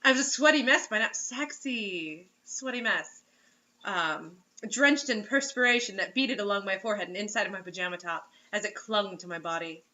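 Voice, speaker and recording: A woman's voice: medium pitch, normal speed, pushed and bright, dull audio. A woman reading fiction aloud, giggly and often breaking off into incredulous joking asides. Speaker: reader and co-host mocking bad fiction.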